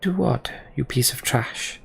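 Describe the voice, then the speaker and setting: A man's voice: medium pitch, unhurried, gravelly, breathy edge, exaggerated voice. A man voicing an outraged, distrustful fantasy game leader, indignant and accusing toward a captive enemy. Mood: scornful. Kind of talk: dramatic reading of RPG dialogue.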